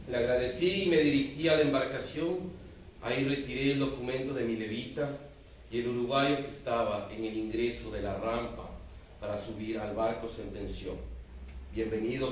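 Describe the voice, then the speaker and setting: A man's voice: medium pitch, a little mellow. A man with an Ecuadorian accent reads a passage from his novel at an embassy book presentation, his delivery building in dramatic emphasis. The sound comes from a distance in a very echoey hall. A faint hiss sits underneath. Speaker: author. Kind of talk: book presentation with reading aloud. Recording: echoey room, distant mic, faint noise.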